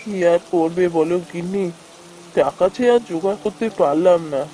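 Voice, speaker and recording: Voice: medium pitch, normal speed, natural voice. An actor playing a humble, helpful poor village husband in a fairy-tale cartoon, with some background noise. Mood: resigned.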